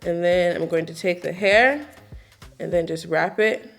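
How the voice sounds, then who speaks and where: A young woman with a low, gravelly voice walks through a hair tutorial in a relaxed, chatty way, full of likes and ums. Her voice is a touch raspy from just waking up. Soft music plays faintly behind her. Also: unhurried. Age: 20s. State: slightly raspy morning voice. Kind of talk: casual step-by-step tutorial.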